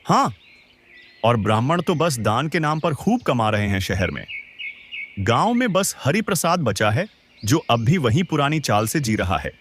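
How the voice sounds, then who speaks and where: A man plays a scornful village gossip in a cartoon moral tale. His mid-pitched voice swings in a lively way, over faint background birdsong. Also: unhurried, slight background noise. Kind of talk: Hindi cartoon moral-story acting.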